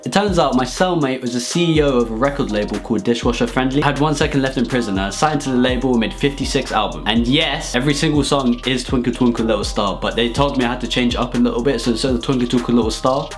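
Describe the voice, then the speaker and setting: A young British man telling absurd comic stories to viewers in an animated, mock-serious, slangy way. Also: mid-range voice, quick pace, hardly any pauses, faint music behind. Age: young adult. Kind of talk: rambling comedic storytelling to viewers.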